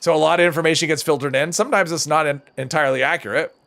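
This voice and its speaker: A man with a somewhat high voice and a gravelly edge. He chats casually with viewers while playing a game on a live stream, answering their questions as he goes. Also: fairly even intonation, very fast, a bit bright. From United States. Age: older than high school, adult.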